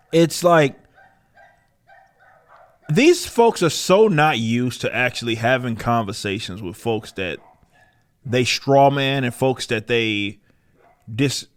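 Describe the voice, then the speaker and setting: A man giving casual, opinionated podcast commentary in a combative, emphatic manner with plenty of swearing. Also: medium pitch. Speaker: podcast host.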